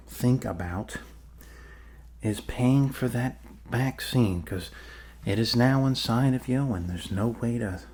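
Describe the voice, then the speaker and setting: A man acts out a friendly doctor in an ASMR roleplay with a lowish voice and a slow, easy pace. He reassures in a rambling, hesitant way and slips in joking asides. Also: darkish tone, a little hiss or hum. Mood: joking, reassuring. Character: friendly rambling male doctor treating a zombie bite. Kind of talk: ASMR roleplay, improvised soft bedside talk.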